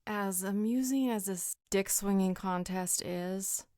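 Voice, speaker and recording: A woman's voice: mid-range voice, slow pace, a little mellow, studio quality, natural voice. A woman playing a composed, firm peacemaker in a supernatural audio roleplay drama. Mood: firm, exasperated. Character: calm, firm female peacemaker among vampires and werewolves. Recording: echoey hall with long reverb.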